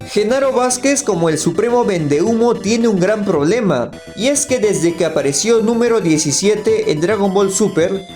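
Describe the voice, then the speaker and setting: A man's voice: sits high, a little mellow. A man delivering scripted critical commentary in a voice-over. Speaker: video critic. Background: faint music.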